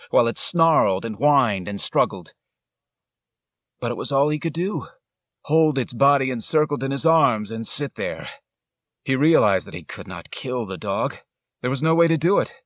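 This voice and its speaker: Male audiobook narrator, medium pitch, deliberate and dramatic storytelling delivery, recorded dry and close. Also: no room sound. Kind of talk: scripted literary story narration.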